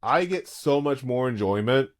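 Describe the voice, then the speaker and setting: Man, thirties, podcast co-host, casual fan chat, animated enthusiast, medium pitch. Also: moderate pace. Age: 30s.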